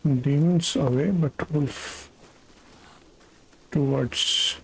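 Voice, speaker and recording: Slow-paced man commentating on a game. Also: on the high side, gravelly, breathy edge, poor audio. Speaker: game streamer. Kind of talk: live gameplay commentary. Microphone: desktop USB condenser microphone.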